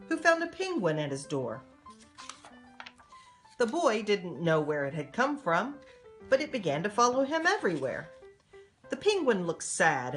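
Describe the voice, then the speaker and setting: An older woman, a grandmother, reading a children's storybook aloud expressively and speaking directly to young children. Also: mid-range voice. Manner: addresses young children directly, simple animated delivery.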